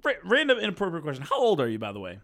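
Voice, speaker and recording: A man's voice: a little high, huge pitch swings, very fast, a bit bright. A man in his thirties hosting a casual podcast, rambling and joking with plenty of fillers and restarts. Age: 30s. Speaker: podcast host. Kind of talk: casual chat.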